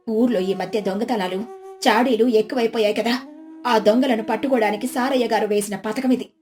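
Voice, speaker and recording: A woman playing a high-voiced, theatrical village housewife. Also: lightly acted. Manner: exclamatory, dramatic. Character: clever, theatrical village housewife, scheming and lamenting.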